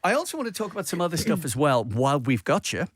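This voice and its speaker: A man's voice: fairly high pitch, sing-song, quick pace, no room sound, studio quality. A man hosting a casual radio interview, chatty and effusive in a conversational way. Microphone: close studio radio microphone.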